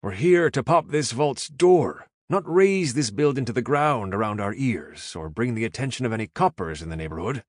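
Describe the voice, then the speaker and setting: A man's voice: medium pitch, very animated, normal speed, no room sound, studio quality, voice pushed up, close to an everyday voice. A man voicing a touchy, proud Irish safecracker in a thick, lilting brogue. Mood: exasperated.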